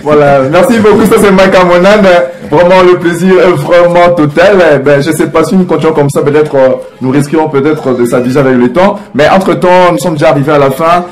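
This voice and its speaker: A man's voice: on the high side, brisk, hardly any pauses. A male TV host running an interview, chatty and affirming, with frequent "voilà". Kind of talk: hosting an interview.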